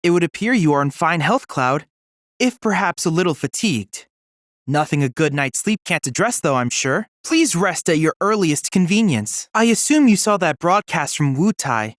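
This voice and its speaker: This is a young android boy researcher in a video game, courteous, eager and bookish. The diction is formal and precise, with a lively, varied melody. The recording is dry and studio-clean, with no room sound. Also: a little high, normal speed, no echo. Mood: earnest, informative. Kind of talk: scripted video game character dialogue.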